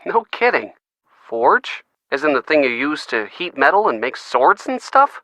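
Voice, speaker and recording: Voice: mid-range voice, very animated, unhurried, sharp tone, dry recording, heavily acted. An actor voicing a detective's teasing, encouraging friend in scripted adventure game dialogue. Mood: intrigued, teasing.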